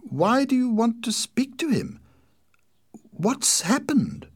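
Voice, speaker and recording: A male reader plays an anxious shopkeeper's wife in an audiobook. The voice is lifted high, swings widely and has a slightly husky grain. She sounds nervous, confused and questioning. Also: very animated, unhurried, darkish tone, heavily acted. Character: anxious married shopkeeper woman, worried and confused. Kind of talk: audiobook character dialogue.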